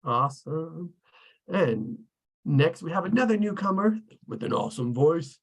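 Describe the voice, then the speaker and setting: A man's voice: fairly high pitch, lively intonation, measured pace, creaky. A man hosting an online table read, casually introducing participants and thanking them.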